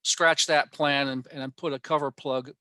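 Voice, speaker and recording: A man giving an informal technical presentation online, pausing often in mid-sentence as he explains. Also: a little high, fairly even intonation, bright, forceful tone, dry recording. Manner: halting, with frequent mid-sentence pauses. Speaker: amateur radio club presenter. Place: online workshop talk.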